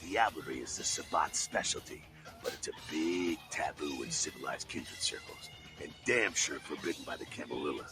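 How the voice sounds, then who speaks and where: A sardonic vampire rebel leader in a video game. His voice sits low and rumbly and moves widely as he spins his schemes in a drawling, conspiratorial tone. Game music plays behind him. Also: lively intonation, normal speed. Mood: wry. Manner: drawling, conspiratorial, calls the player kiddo.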